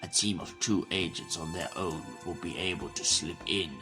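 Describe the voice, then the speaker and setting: An actor playing a stern, authoritative male president at war in an audio drama, speaking formally and gravely. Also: deep voice, steady tone, moderate pace, bright, forceful tone, faint music behind, full character voice. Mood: grave briefing.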